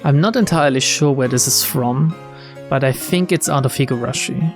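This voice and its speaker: A man's voice: medium pitch, moderate pace. A man hosting a game playthrough, reading the text aloud and adding casual commentary. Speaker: let's play host. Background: game music.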